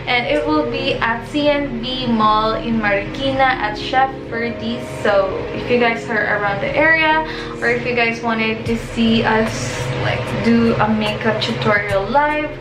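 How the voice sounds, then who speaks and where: A young woman with a high voice chatting casually as a vlogger, reading out questions with an upbeat manner. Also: unhurried. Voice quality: high-pitched. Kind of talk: casual chat. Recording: echoey room with background music.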